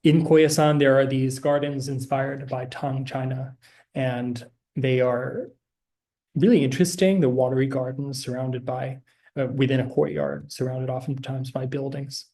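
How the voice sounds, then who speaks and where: A man walks through slides in a relaxed, hesitant way, stopping often for fillers and restarts. His voice has a warm, mellow tone and stays fairly level in pitch. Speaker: program director presenting. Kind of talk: informational slideshow presentation.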